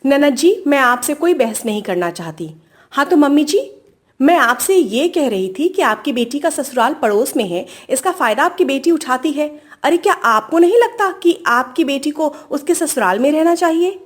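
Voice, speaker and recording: A woman's voice: sits high, expressive, moderate pace. A woman playing a resentful young sister-in-law with a pointed, sarcastic manner in a family story.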